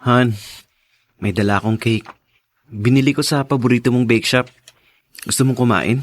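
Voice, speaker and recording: A man's voice: medium pitch, steady tone, normal speed, no echo, lightly acted. A man acting in a radio drama as a troubled young husband, earnest and pleading, torn between his wife and his father. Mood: gentle, pleading.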